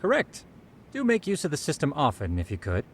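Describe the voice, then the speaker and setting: A man's voice: a little high, huge pitch swings, a little fast, a little hiss or hum. An actor playing a polite, precise and eager male associate in an English video game dub, formal and explanatory. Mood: helpful.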